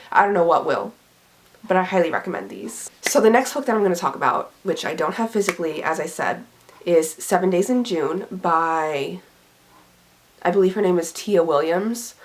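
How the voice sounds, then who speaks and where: Slightly raspy, chatty young woman. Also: fairly low pitch. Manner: chatty, rambling, lots of "like" and "um". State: slightly raspy and scratchy from being sick. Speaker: book vlogger. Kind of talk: casual chat to camera.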